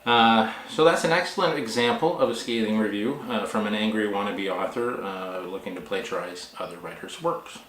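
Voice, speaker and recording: A man talking casually as a vlog host and author, with a halting, wry, self-deprecating manner. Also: fairly low pitch, a bit bright, a little hiss or hum. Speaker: author and book vlogger hosting. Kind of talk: casual vlog talk, reading reviews aloud. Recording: echoey room.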